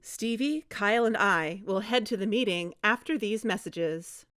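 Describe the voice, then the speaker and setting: A woman's voice: mid-range voice, measured pace, a bit bright, smooth, no room sound, studio quality, natural voice. A woman playing an earnest young amateur investigator on a fiction podcast, serious and careful. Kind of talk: fiction-podcast dialogue and narration.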